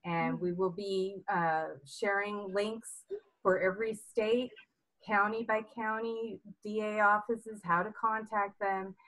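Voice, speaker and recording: Woman host, rallying and excitable, voice fraying, mid pitch. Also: takes it slowly, low-quality recording. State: losing her voice. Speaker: meeting host. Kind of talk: online group meeting.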